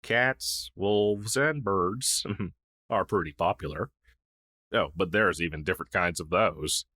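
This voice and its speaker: A man's voice: sits low, takes it slowly, sharp tone, no echo, clean studio sound, heavily acted. A man playing a friendly, shy crow familiar in an intimate fantasy audio roleplay, speaking in a hesitant, earnest, conversational way. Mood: gentle, reassuring. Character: friendly, shy crow familiar, a masterless male magical helper. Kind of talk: intimate ASMR roleplay acting.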